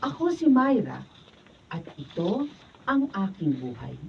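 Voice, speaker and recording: A woman's voice: medium pitch, sing-song, a little slow, gravelly. A woman acting in a Filipino radio drama as an emotional wayward daughter, with a Filipino accent and melodramatic delivery. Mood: calm, reflective. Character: Myra, anguished wayward daughter, later repentant and at peace. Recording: distant mic, dull noisy room recording.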